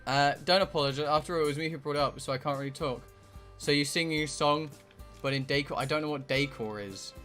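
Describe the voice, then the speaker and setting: A man's voice: a little high, quick pace, a bit bright, soft background music. A man livestreaming a visual novel, chatting casually and reading dialogue aloud in a rambling way with casual swearing. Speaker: streamer playing a visual novel.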